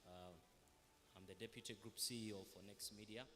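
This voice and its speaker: A man on a panel, speaking deliberately with rhetorical questions. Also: lowish voice, fairly even intonation, bright, forceful tone. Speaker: media executive panelist. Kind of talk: panel remarks. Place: large hall. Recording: reverberant hall.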